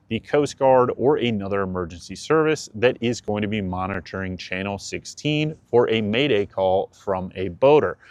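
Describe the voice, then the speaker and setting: A man's voice: fairly low pitch, no echo. A man explaining practical safety advice to viewers in a matter-of-fact, conversational, instructive way. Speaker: presenter of a boating advice channel.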